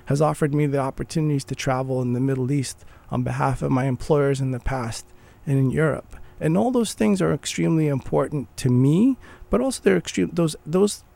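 Gravelly man in earnest conversation. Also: on the high side, a little fast, hardly any pauses, dark tone. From Morocco. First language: Arabic. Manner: earnest, restarts phrases while thinking. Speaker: guest. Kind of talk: conversational interview.

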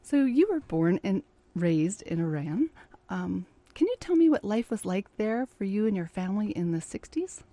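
A woman hosting an interview show, introducing her guest and asking questions in a measured, clear manner. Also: mid-range voice, very animated, mellow, no room sound. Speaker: interview host. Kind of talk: radio-style interview.

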